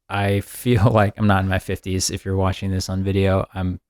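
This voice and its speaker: 30-something guy, lowish voice, dry close sound, chatty podcast host. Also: little pitch movement, moderate pace, darkish tone, dry recording. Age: 30s. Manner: rambling, upbeat, laughs often. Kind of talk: casual chat.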